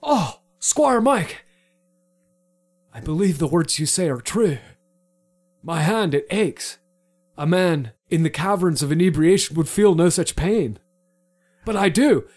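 A man's voice: on the high side, expressive, takes it slowly, long pauses, darkish tone. A man playing a drunken, dramatic knight whose grand, pompous phrasing keeps slipping into casual slang.

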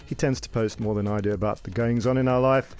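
A South African man in his forties, chatting on a radio show with his partner. His voice sits at an ordinary middle pitch, and he talks with a dry, wry sense of humour. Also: fairly even intonation, fast talker, over loud music. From South Africa, Cape Town. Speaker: co-host, business coach. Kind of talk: casual radio chat.

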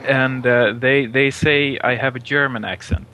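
Bright-voiced man with a Swedish accent. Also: medium pitch, fairly even intonation, a little slow, slight background noise. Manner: hesitant, apologetic, stumbling over words. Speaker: interviewer. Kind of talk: casual chat.

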